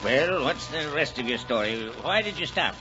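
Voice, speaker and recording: Man acting impatient gentleman, highish voice. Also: animated, moderate pace, natural voice. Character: impatient gentleman demanding a story. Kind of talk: 1940s radio acting. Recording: muffled old radio recording with music.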